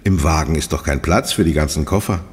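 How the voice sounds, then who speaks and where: A man's voice: a bass voice, brisk, in a large hall. An actor playing a suave professor who affectionately teases his girlfriend in an audio drama. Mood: playful, soothing.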